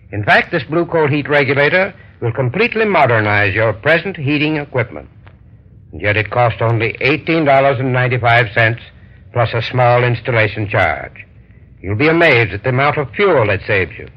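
A man presents a vintage radio advertisement in a mid-range voice. He is formal, measured and instructive, like a scripted expert, and the old broadcast sound is muffled. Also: medium pitch. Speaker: heating expert giving sponsor message.

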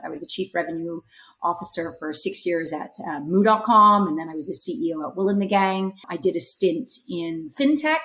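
A Canadian woman in her fifties, a guest on an interview podcast, talking through her career in a reflective, unhurried way. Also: on the low side. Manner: reflective, unhurried, with some filler words. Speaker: guest, startup executive. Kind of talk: conversational interview answers.

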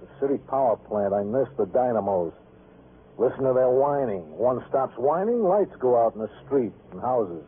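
An American actor playing a bitter, evasive, tired night-shift worker, speaking in short sardonic phrases in old-time radio drama style, with a husky voice. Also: male voice, mid-range voice, animated, normal speed. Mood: bitter, weary. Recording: tinny, band-limited vintage radio recording.

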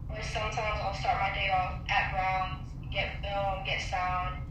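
A woman's voice: mid-range voice, level tone, measured pace. Distant, heavily echoing woman in conversation. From Chile. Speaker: interview guest, sports reporter and journalist. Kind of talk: casual conversational answers. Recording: distant, very echoey, clearly noisy line.

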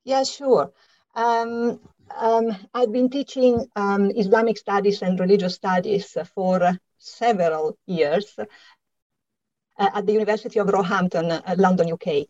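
An older woman with an Italian accent, a guest author answering interview questions with many pauses and fillers. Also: mid-range voice, fairly even intonation, a little mellow. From Italy. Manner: hesitant, many ums and uhs. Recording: slightly dull, lo-fi sound.

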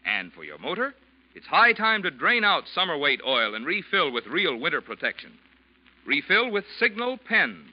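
A male radio announcer reading scripted sponsor copy in a polished, emphatic 1940s style. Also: on the high side. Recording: dull old radio recording. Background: music.

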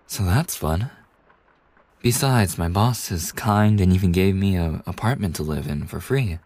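A man acts out a kind young male bartender for an ASMR roleplay. His voice is deep and soft, with a husky breathiness. He takes his time, pausing hesitantly, and stays polite and attentive throughout. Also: animated. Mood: reflective, candid. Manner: courteous, soothing, hesitant pauses. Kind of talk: ASMR roleplay, soft intimate scripted acting.

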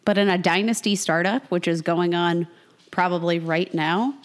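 A woman co-hosting a casual fantasy football podcast, her voice a little raspy from a cold, talking in a chatty, self-mocking way. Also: fairly low pitch, fairly even intonation, moderate pace. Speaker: podcast co-host.

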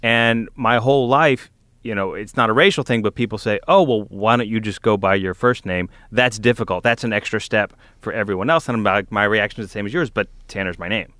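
A man's voice: lowish voice, brisk, a bit bright, no echo. A man co-hosting a casual podcast discussion, explaining his points as he thinks aloud. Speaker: podcast co-host.

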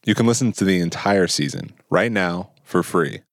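A man's voice: low voice, normal speed, heavy background noise. A man reading scripted podcast promos and credits as an announcer. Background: loud music.

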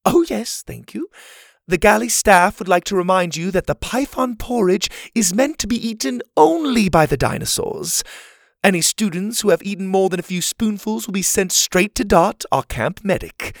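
Older lady camp director, as a man voices her, raised voice, lively pitch, slow formal announcing, dry sound. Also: creaky, no room sound. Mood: formal, welcoming.

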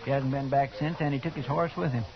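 A man's voice: medium pitch, fairly even intonation, fast, creaky, a crowd in the background, natural voice. Man acting a folksy Old West sidekick, muffled recording. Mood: eager, reporting. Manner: folksy, respectful, hesitant. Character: folksy, deferential, eager male deputy sidekick in Old West. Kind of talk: 1950s radio western acting. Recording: dull 1950s radio recording.

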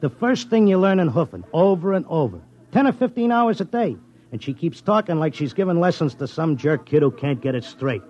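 An actor playing a stubborn, lovesick Broadway handicapper with a New York accent and slangy talk, in 1950s radio drama style. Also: male voice, a little high, very animated, fairly quick, darkish tone. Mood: stubborn, insistent. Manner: slangy, colloquial tough-guy talk. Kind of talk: 1950s radio drama acting, first-person narration and dialogue. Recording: dull vintage radio recording, music behind.

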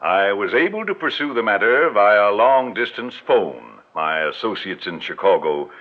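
A grandiloquent, self-assured male swindler in a vintage radio play. His fairly low voice swoops theatrically through every phrase. The recording is muffled and dated. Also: sing-song, normal speed. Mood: smoothly ingratiating. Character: smooth pompous male con man posing as genealogist. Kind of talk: old-time radio drama acting.

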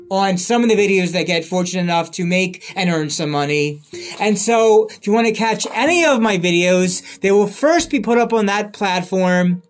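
A man giving a rambling solo update on his podcast and videos, often repeating and restarting his phrases. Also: sits high. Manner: repetitive, restarts phrases, earnest.

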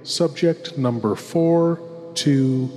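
Man putting on a ominous horror host, theatrical, unhurried, deliberate pauses, cavernous echo, old-time radio style. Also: mid-range voice, warm tone, faint music behind. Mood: grave, ominous. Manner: slow, dramatic, deliberate pauses. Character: suave, ominous male horror host savouring the uncanny. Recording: long cavernous reverb.

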